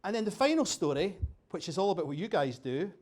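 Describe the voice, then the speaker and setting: A man's voice: high voice, sing-song, quick pace, a little mellow. A Scottish man giving a humorous after-dinner talk, chatty and jokey, quizzing the audience. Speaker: keynote speaker. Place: dinner venue with a bar.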